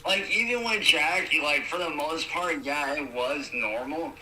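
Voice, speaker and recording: A man's voice: fairly high pitch, unhurried, sharp tone. A young man chatting casually and slangily on an echoey, distant, noisy phone recording. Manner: slangy, swearing. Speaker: caller.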